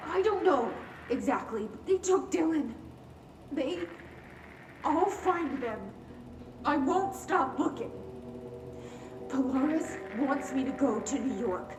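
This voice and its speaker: Slow, haunted young woman, echoey. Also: sits high. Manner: halting, intense, insistent. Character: troubled, earnest young woman, haunted and determined patient. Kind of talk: video game cutscene acting. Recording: roomy, distant, noisy.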